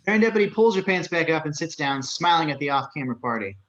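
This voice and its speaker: A man's voice: a little high, animated, fast, fairly bright tone. A man narrating the action lines of a script at a table read. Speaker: stage-direction narrator. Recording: dull lo-fi home mic.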